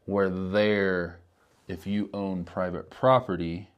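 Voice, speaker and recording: A man's voice: low voice, level tone, slow pace, clear, resonant tone, highs cut off. A man with an American accent hosting a casual podcast interview, with informal, loosely phrased talk.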